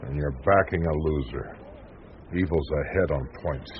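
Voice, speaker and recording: An actor playing a cynical, needling newspaper reporter in a 1980s radio drama, with a sardonic, pointed way of talking. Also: male voice, very deep voice, moderate pace. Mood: indignant. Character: cynical, needling male American newspaper reporter, indignant crusader. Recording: muffled, noisy old radio broadcast recording.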